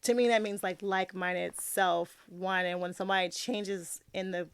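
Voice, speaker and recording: Raspy, slightly tipsy woman. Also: fairly low pitch, fairly even intonation, normal speed, no room sound. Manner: slurs words, laughs often, talks in run-on thoughts. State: a little tipsy. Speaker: podcast guest. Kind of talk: casual chat.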